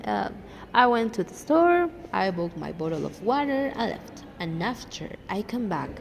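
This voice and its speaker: A woman's voice: medium pitch, big pitch swings, normal speed, creaky, a little hiss or hum. Young woman with a Mexican accent. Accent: Mexican Spanish. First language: Spanish. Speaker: podcast co-host. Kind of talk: casual storytelling chat.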